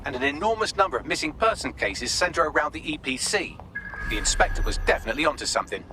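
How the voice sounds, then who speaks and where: An actor playing a glib, sardonic, articulate AI assistant giving briefings in a video game. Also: male voice, fairly high pitch, big pitch swings, fast talker. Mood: brisk, informative. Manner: wry, articulate. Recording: clear background noise.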